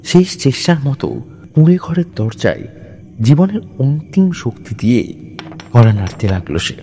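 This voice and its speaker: A man narrating scripted horror stories in a suspenseful, deliberate way, with dramatic pauses between phrases. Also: mid-range voice, big pitch swings, mellow.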